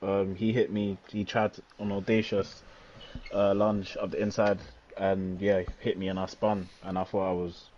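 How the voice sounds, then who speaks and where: A man's voice: sits low, level tone, normal speed, a little mellow. A man racing driver recounting his race in a hesitant, rambling way with lots of fillers. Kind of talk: casual post-race chat. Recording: muffled voice chat with faint hiss.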